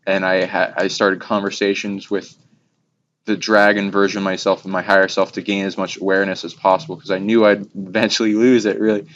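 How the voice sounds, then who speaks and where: Low, flat-toned man rambling on. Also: a little fast. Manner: rambling, long run-on monologue. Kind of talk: casual spiritual talk. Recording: dull lo-fi recording with background noise.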